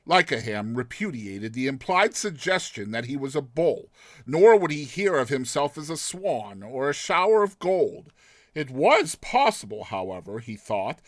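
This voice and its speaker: Animated high-voiced man reading a story. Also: no echo. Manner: theatrical, expressive storytelling. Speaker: narrator. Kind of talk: dramatized literary short story reading.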